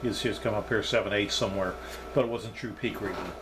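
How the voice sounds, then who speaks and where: A man's voice: fairly low pitch, steady tone, quick pace, noisy background. A man giving a casual, unscripted hands-on demonstration as a hobbyist reviewer, thinking aloud as he goes.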